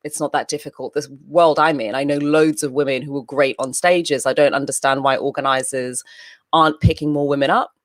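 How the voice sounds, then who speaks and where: A woman with a low voice, raised in Papua New Guinea and the UK, chats animatedly as an interview guest. She tells stories freely and often breaks into laughter, heard in a dry, close recording. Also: a little fast, no echo. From grew up in Papua New Guinea, then the UK. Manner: lively storyteller who laughs often. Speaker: interview guest, event host and moderator. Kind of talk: casual interview chat.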